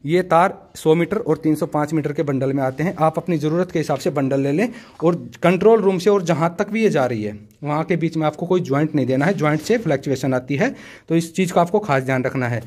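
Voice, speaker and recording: Male tutorial host, slightly high voice, methodical and instructive hands-on explainer. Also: quick pace, a little mellow. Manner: instructive, methodical, often addresses viewers as friends. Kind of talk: practical how-to explanation to camera.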